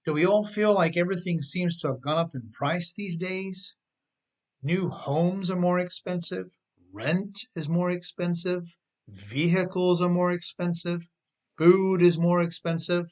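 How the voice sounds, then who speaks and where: A man's voice: high-pitched, steady tone, measured pace, a little mellow. A man narrating his own self-help book in a wry, conversational way, often putting rhetorical questions straight to the listener. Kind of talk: audiobook narration with conversational asides.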